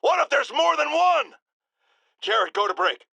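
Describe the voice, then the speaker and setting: A blustering middle-aged talk radio host from a video game, his voice pitched high and swinging dramatically. He is paranoid and indignant, hammering key words with theatrical force in a bright, cutting tone. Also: big pitch swings, a little slow, creaky, no room sound, dull audio, full character voice. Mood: alarmed ranting. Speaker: radio call-in show host. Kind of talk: ranting talk radio monologue.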